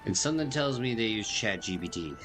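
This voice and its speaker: A man's voice: lowish voice, animated, fairly quick. A man giving casual game commentary as a streamer, chatty, slangy and laid-back. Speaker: gaming streamer. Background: faint game music.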